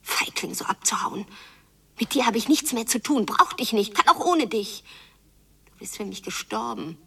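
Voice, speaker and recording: A woman's voice: a little high, animated, moderate pace, creaky, a bit bright, husky, recorded from a distance, full character voice. An actress playing a hurt, yearning girl abandoned by her father in an intense radio play monologue that often drops into whispering. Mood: angry defiance.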